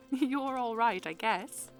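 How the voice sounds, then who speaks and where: A woman's voice: sits high, exaggerated voice. A woman acting as a playful, reassuring young princess in an audio drama.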